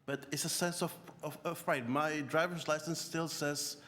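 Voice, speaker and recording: A man speaks at a public meeting in a reverberant hall. His delivery is halting and unscripted, with words repeated and stammered, and there is noticeable background noise. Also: fairly high pitch, steady tone, fairly bright tone. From born in Croatia, Canada (Scarborough). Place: large indoor meeting room.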